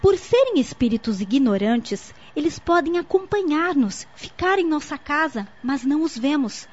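High-voiced earnest young niece played by an actress. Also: female voice, sing-song, normal speed. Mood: earnest, explanatory. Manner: didactic, articulate, earnest. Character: young niece explaining spirits earnestly to her aunt. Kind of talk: radio soap opera acting. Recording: muffled lo-fi audio with faint noise.

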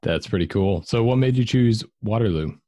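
A man's voice: on the low side, animated, normal speed, mellow, no echo, studio quality. A man hosting a podcast, asking friendly questions with lots of fillers. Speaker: podcast host. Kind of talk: casual interview questions.

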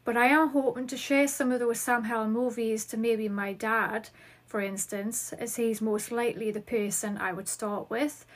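A woman's voice: on the high side, steady tone, moderate pace. A woman in her thirties with a Geordie accent from northeast England, hosting a solo podcast and chatting through listener questions. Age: 30s. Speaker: podcast host.

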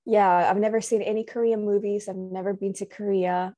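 A woman's voice: medium pitch, fairly even intonation, fairly quick, mellow. Earnest woman. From Finland. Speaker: podcast guest, entrepreneur. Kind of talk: casual conversation.

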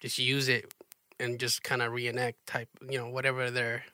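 A man hosts a laid-back interview with a bright, forward tone. His voice sounds dry and close, with no room in it. He chats easily and fills the gaps with lots of filler words. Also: mid-range voice, moderate pace, no echo. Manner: relaxed and chatty, with many fillers. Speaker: interviewer, host. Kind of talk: casual interview chat.

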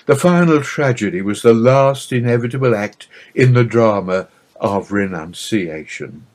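A man reading a scripted religious narrative aloud in a solemn, measured and expressive manner. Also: on the low side. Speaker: reader of a religious book.

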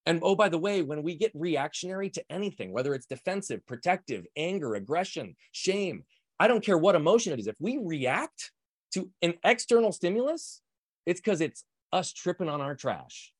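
A man speaking fast and loud, full of energy, as he explains ideas as a podcast guest. His voice sounds close and dry, with no room around it. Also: on the high side, very animated, no room sound. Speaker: guest, performance coach. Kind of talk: podcast interview, emphatic explaining.

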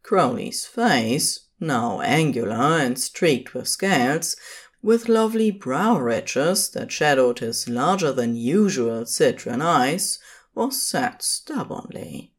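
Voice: mid-range voice, lively intonation, slow, breathy edge. A narrator reading scripted fiction for an audio drama.

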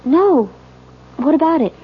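An actress playing a gentle, uneasy newlywed bride in a 1970s radio drama, speaking politely and with some hesitation. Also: female voice, high voice, expressive, unhurried. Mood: uneasy, agreeable. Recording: dull 1970s radio recording.

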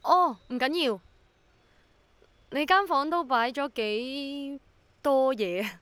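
A woman's voice: high voice, slow pace, a bit bright, no room sound. An actress playing an easily flustered, skeptical young woman in a radio drama, with a Hong Kong accent. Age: young adult. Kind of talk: Cantonese radio drama acting and first-person narration.

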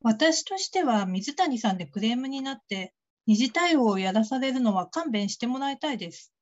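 A woman's voice: a little high, expressive, moderate pace, highs cut off, close to an everyday voice. A woman playing a critical call-centre worker in a radio drama, blunt and coolly polite. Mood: disapproving. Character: curt, critical female call-centre colleague. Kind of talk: Japanese radio drama acting.

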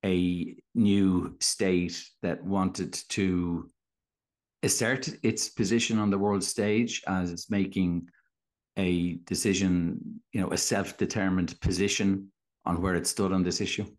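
A man with a low-pitched voice. He hosts a podcast conversationally, steering and probing, with somewhat hesitant phrasing. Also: fairly even intonation, takes it slowly, a little mellow. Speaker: podcast co-host. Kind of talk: conversational hosting.

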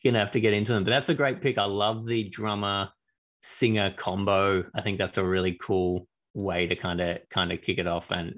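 A man's voice: sits low, fairly bright tone. A man co-hosting a casual podcast chat, often hesitating and repeating words. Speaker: podcast co-host.

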